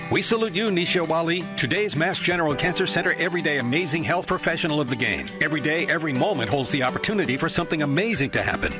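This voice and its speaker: Male commercial announcer, slightly high voice, scripted sponsor read with music underneath. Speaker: radio advertising announcer. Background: music bed.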